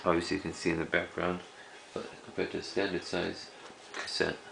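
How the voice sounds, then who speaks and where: Casting: man, very low creaky voice, casual collector showing items, halting and unscripted, slight hiss of background noise. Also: completely flat delivery. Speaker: cassette collector presenting a collection. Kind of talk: casual unscripted show-and-tell.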